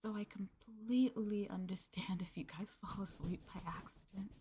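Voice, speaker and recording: A young woman streamer talking gently and softly with her viewers during a cozy ASMR roleplay stream, often giggling and dropping to a hush. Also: medium pitch, fairly even intonation, normal speed, a little hiss or hum.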